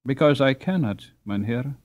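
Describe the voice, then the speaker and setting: A man's voice: medium pitch, lively intonation, moderate pace, a little mellow, lo-fi sound, natural voice. A man voicing an earnest, polite and humble poor boy in a storybook, speaking respectfully. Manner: respectful, deferential.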